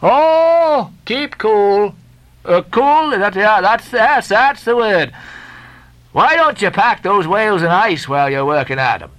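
Man voicing a loud teasing seaman, high pitched and animated. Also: takes it slowly, exaggerated voice. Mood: bawling mockery. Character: brash jolly male sailor, mocking.

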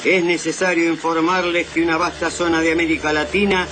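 High-pitched urgent radio commander. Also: male voice, flat delivery, a little fast, noisy background. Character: urgent male resistance commander broadcasting a warning. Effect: shortwave radio broadcast.

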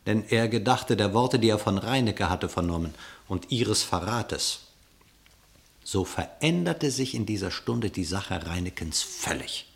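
A man narrating an epic verse fable for a radio play in an expressive, literary storytelling manner. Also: medium pitch, gravelly.

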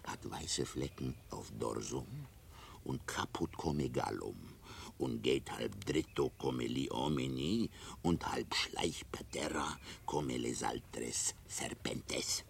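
A man's voice: a bass voice, slow, gravelly, slight background noise, exaggerated voice. A man playing an ugly, superstitious, lecherous monk in a radio drama, babbling conspiratorially in broken mixed Latin, Romance and German. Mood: secretive, menacing.

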